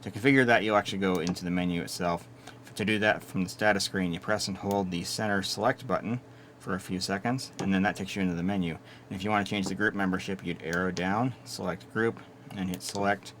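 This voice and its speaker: Low-voiced man demoing gear. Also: fairly quick, a little hiss or hum. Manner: steady, methodical explaining with small restarts. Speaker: product reviewer. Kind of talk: hands-on gear walkthrough.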